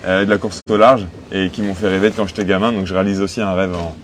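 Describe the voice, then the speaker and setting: Low-voiced young Frenchman in an interview. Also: steady tone, fast. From Cholet, western France. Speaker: sailing skipper being interviewed. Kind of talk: conversational interview answers. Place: aboard a sailing boat in port. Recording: noticeable background noise on location.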